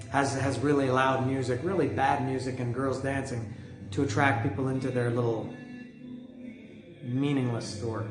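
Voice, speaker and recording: Loud rambling man with music outside. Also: on the high side. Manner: chatty, rambling, with frequent restarts. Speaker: music podcast host. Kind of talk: casual monologue. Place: home, street music outside. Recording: echoey room with music outside.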